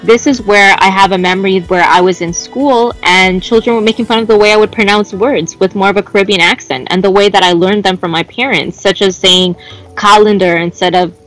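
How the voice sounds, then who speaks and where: A Canadian woman talking in a relaxed podcast chat. She speaks with feeling and often stops and restarts mid-sentence as she searches for the right words. Faint music sits low behind her voice. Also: a little fast, low-quality recording. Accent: Canadian English. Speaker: guest. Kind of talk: casual podcast conversation.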